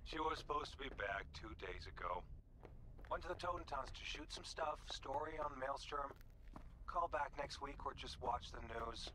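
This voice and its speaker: A man playing a put-upon, sardonic office assistant in a video game, snippy and deadpan in manner. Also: mid-range voice, normal speed. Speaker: news assistant. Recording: game call with noisy ambience.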